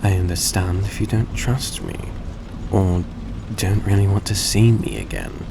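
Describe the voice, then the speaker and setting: A man acting as a gentle, courteous vampire speaks in a deep, slow voice with a gravelly creak. His phrasing is polite, formal and slightly hesitant, as in an intimate audio drama told straight to the listener. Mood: gentle, earnest. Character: courteous, gentle male vampire repaying a debt. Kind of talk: intimate scripted audio roleplay addressed to the listener. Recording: steady background noise behind voice.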